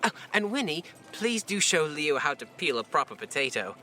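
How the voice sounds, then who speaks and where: A man's voice: on the high side, sing-song, moderate pace, pushed and bright, soft background music. A man playing a breezy, privileged young lord's son in an audio drama, chatty and flippant. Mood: flustered.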